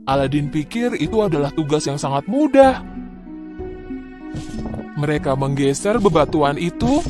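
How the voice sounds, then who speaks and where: A man narrating a scripted children's fairy tale in an expressive, dramatic way. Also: a little high. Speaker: storyteller. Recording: music behind the voice.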